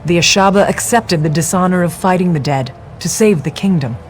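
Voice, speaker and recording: An actress playing a young woman of a desert tribe in a game, recounting her people's history in a measured tone. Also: on the low side, faint music behind, close to an everyday voice. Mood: solemn. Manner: measured storytelling tone. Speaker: game character.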